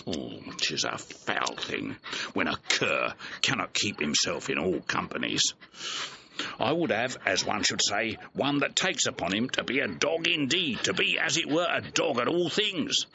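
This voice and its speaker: An actor playing an earthy, aggrieved clownish servant in a classical comedy audio drama, rambling theatrically. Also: male voice, fairly low pitch, animated, measured pace, vocal fry, sharp tone, exaggerated voice. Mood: aggrieved, confiding. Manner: rambling, theatrical, conversational soliloquy with mimicked voices. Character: comic male clownish servant scolding his dog, aggrieved, earthy. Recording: dull, slightly noisy restored old recording.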